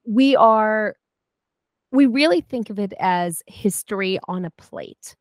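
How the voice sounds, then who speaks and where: A woman in her forties, guest on a chat show, telling a lively story with many restarts and asides. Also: medium pitch, takes it slowly, no room sound, studio quality. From United States. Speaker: guest, food historian and cooking teacher. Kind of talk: casual interview chat.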